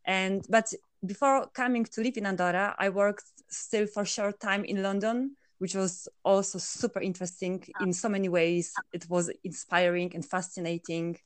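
A woman's voice: medium pitch, fairly even intonation, normal speed, clear, resonant tone, dry recording. A woman from Poland, a working mother, answering interview questions in a hesitant way with many fillers. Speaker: interview guest, blogger.

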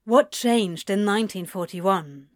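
A woman voicing a tender, insecure male lover who asks earnest, hesitant questions in a hushed audiobook style. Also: medium pitch, slow, no room sound, studio quality, close to an everyday voice. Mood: urgent, pleading.

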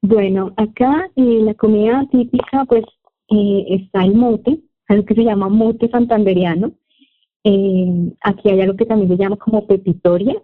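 Colombian woman on a tinny line. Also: medium pitch. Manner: relaxed, with frequent "eh" pauses. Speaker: Spanish teacher, guest. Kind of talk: casual interview chat.

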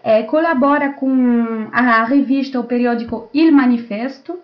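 A woman hosting a panel, introducing it in a semi-formal, somewhat halting way over an online call. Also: fairly high pitch. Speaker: host and moderator. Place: remote video call. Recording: dull lo-fi online call audio.

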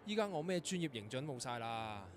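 A man's voice: medium pitch, very animated, fairly quick, background noise, lightly acted. An actor playing a weary, grumbling young male composer in a radio drama. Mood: irritated. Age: young adult. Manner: grumbling, dry, sighing. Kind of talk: Cantonese radio drama acting.

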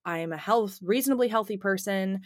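A woman in her twenties co-hosting a podcast, talking casually with a slight rasp left over from a cough, with many fillers and restarts. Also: medium pitch, brisk, dry recording, clean studio sound. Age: 20s. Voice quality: slightly raspy. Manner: chatty, lots of "like" and restarts. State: slightly raspy, recovering from a cough. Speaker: podcast co-host. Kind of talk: casual chat.

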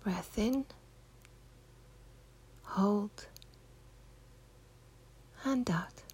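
A woman leading a guided meditation in a soft, husky, near-whispered voice, giving gentle, unhurried, soothing instructions. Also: mid-range voice.

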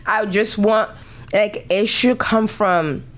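A woman from Ghana hosting a casual podcast chat, telling stories with lively quoted voices and frequent laughter. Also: medium pitch, slow. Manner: animated storytelling, acts out quoted voices, laughs often. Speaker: podcast host.

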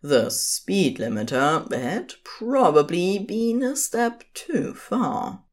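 A woman's voice: fairly low pitch, sing-song, slow pace. A woman narrating scripted fiction as the storyteller of an audio drama.

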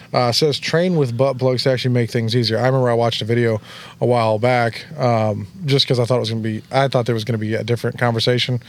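A man co-hosting a podcast, explaining things in an easygoing, casual way. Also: medium pitch. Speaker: podcast co-host. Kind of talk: casual chat.

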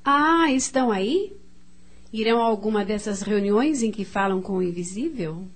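An actress playing a stern, respectable middle-aged aunt in a radio soap opera, formal and reproachful. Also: female voice, medium pitch, lively intonation, moderate pace, a little hiss or hum, close to an everyday voice. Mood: disapproving.

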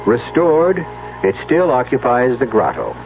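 A man hosting and narrating a radio mystery with scripted, measured, wry and portentous delivery. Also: mid-range voice, big pitch swings. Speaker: radio drama host and narrator. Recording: dull radio recording with music.

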